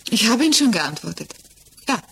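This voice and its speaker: Composed, defiant widow. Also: female voice, fairly high pitch, big pitch swings, fairly quick, gravelly, a bit bright, slight background noise, exaggerated voice, poor audio. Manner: composed, direct, unapologetic. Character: self-possessed widow under questioning, defiantly devoted to her lover. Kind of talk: 1960s German radio play acting.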